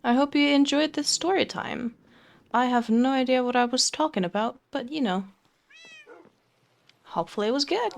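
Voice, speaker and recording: A young woman from Finland, chatting casually with fillers and soft laughs while touring her game build. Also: high voice, moderate pace, vocal fry, long pauses. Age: young adult. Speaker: Minecraft let's play creator. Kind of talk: casual gameplay commentary.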